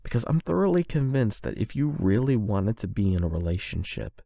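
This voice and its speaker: Low, creaky-voiced man, hesitant and confessional. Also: sing-song, warm tone, no echo, studio quality. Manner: hesitant, halting, self-doubting, conversational. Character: nervous lovestruck young man confessing to online friend. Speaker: audio roleplay performer. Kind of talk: emotional confession roleplay, ASMR-style monologue.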